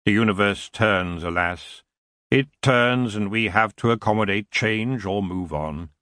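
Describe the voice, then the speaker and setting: Elf king, storybook reading, deep voice, slow and smooth drawl, lazy menace, voiced by a man. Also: sharp tone, no room sound, clean studio sound. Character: ancient stinking antlered male elf king, lazy and menacing.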